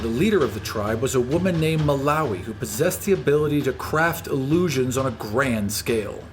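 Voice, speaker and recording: A man's voice: medium pitch, animated, a little fast. A man reading scripted fantasy recap narration as the narrator of a story. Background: music.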